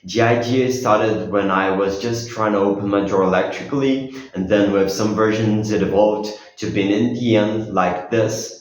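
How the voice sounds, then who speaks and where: Low-voiced teenage guy presenting. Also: fairly even intonation, moderate pace, hardly any pauses, a little hiss or hum, rough recording. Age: teens. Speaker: student inventor presenting own project. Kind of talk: explanatory project demo.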